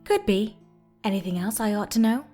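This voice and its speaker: A woman voicing a confident young ghost hunter who talks in a dry, unhurried, businesslike way in an audio drama. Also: medium pitch, big pitch swings, dark tone, faint music behind. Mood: inquiring.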